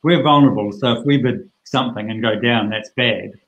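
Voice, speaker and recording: A middle-aged man explains a card hand over a video call. He talks in a chatty, plain-spoken way, tossing in lively asides. His voice sits at an ordinary pitch. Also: fairly quick, lo-fi sound. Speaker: bridge teacher.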